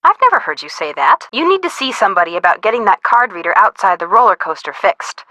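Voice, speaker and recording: A bright, close and dry high voice for a bubbly teenage girl sidekick. She gives scripted game hints with playful drama, swinging her pitch eagerly, nosy and easily spooked. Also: very animated, quick pace, no echo, low-quality recording. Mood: playful, helpful. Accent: American. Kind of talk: scripted video game hint dialogue.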